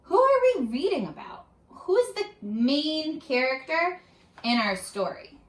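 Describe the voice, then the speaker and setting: A woman reading aloud to children as a teacher, addressing them directly with questions in an animated, instructional way. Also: sits high, unhurried. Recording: roomy, echoing sound.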